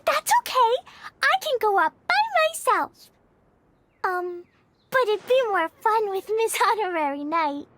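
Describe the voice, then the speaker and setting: A woman's voice: very high-pitched, lively intonation, unhurried, a bit bright, full character voice. A woman voicing a cheerful, earnest little girl with childlike, eager phrasing in a video game cutscene. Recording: background music under the voice.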